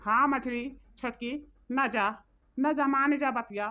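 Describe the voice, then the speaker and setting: A woman's voice: high voice, unhurried. A woman voicing an indignant, bossy village woman in a comedy cartoon, insistent and scolding, with an eastern Hindi-belt accent. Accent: eastern Hindi belt (Bhojpuri-Awadhi region). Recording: tinny phone-like band-limited audio.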